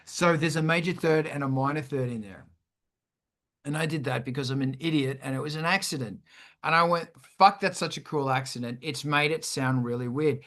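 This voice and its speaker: A man's voice: fairly high pitch, brisk. A man casually teaching music production as a show host, with a sweary, irreverent manner. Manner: sweary, irreverent, animated explaining.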